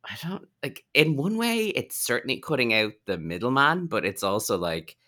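A man's voice: mid-range voice, very animated, normal speed, bright, forceful tone. An Irish man hosting a podcast, chatting in a casual, informal interviewing style full of asides. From Ireland. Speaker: podcast host.

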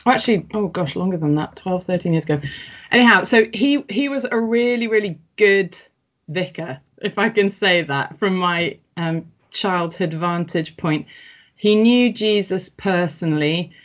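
A middle-aged woman speaks in a fairly low voice. She recalls her upbringing in a rambling, reflective way, full of ums and restarts. The audio is muffled and dull. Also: expressive, normal speed. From North West England. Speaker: interviewee. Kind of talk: personal interview.

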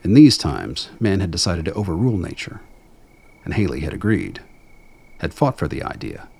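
A man with a gravelly voice reading scripted dramatic narration in a measured, brooding storytelling manner. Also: low voice, very animated, darkish tone.

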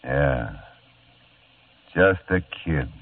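A man's voice: extremely low pitch, expressive, drawn-out words, full character voice. An actor playing a dry, wry male private detective in a 1950s radio play, with clipped, world-weary narration. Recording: tinny old radio broadcast with music.

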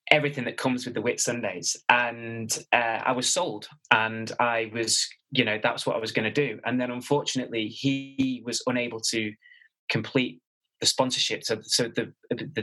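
A man's voice: lowish voice, pushed and bright, poor audio. A man with a Manchester accent in his late twenties, a personal trainer talking as a podcast guest, rambling with many restarts and fillers. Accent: Mancunian. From Manchester, England. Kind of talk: casual interview chat.

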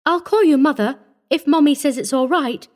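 Woman playing a shy, high-voiced little orphan girl. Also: normal speed, darkish tone, lightly acted. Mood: earnest, hopeful. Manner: shy, hesitant, earnest.

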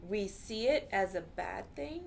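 A woman's voice: mid-range voice, animated, unhurried, creaky, a little mellow, slight background noise. Earnest woman therapist chatting casually. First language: Urdu. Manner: earnest, frequent "you know" fillers. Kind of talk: casual interview talk.

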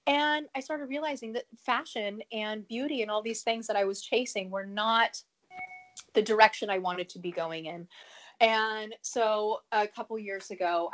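Woman with a cold, earnest and talkative. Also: medium pitch. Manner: earnest, with fillers and restarts, laughing at herself. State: has a cold, a bit sniffly. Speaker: podcast guest, speaker and educator. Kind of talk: conversational interview answer.

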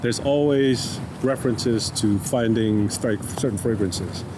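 A man's voice: medium pitch, takes it slowly. A man in his thirties from Tonga, whose first language is Tongan, speaking thoughtfully in an interview and often restarting his sentences. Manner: thoughtful, searching, many restarts. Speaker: artist and guest. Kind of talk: reflective conversational interview. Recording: noisy background.

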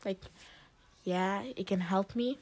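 A teenage girl from Lebanon, a high school student answering interview questions in a casual, hesitant way with many fillers and restarts. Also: steady tone, slow pace, darkish tone. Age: teens.

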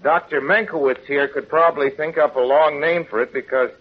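A man's voice: on the high side, fairly quick, close to an everyday voice. Unassuming small-town everyman played by a male actor, plain-spoken, earnest, folksy delivery, dull 1930s radio sound. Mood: mild, earnest. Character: plain-spoken, guileless small-town man defending himself. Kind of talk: 1930s-40s radio drama acting. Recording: muffled, noisy old radio recording.

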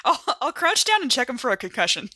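A woman's voice: high-pitched, very animated, fast, pushed and bright. A woman chatting casually as a player in a tabletop role-playing game, improvising her actions.

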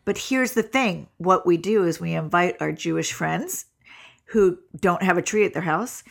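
A woman's voice: fairly low pitch, normal speed, gravelly. A middle-aged woman with a stuffy nose, co-hosting a relationship show in casual, chatty talk. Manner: chatty, laughs easily. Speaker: co-host. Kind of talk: casual chat.

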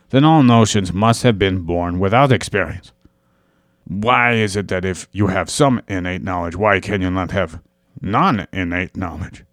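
A man's voice: on the low side, no room sound, clean studio sound. A man hosting a casual podcast, explaining philosophy ideas and joking with friends. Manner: joking, then explaining at length. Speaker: podcast host.

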